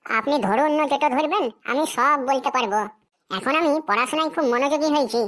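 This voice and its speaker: Woman doing a cheeky little cartoon schoolboy, high and bright, reciting his essay like a chant. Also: quick pace. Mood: cocky. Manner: rattles off a memorized essay in a sing-song recital. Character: cocky schoolboy who turns every essay into a fox essay. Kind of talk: cartoon voice acting.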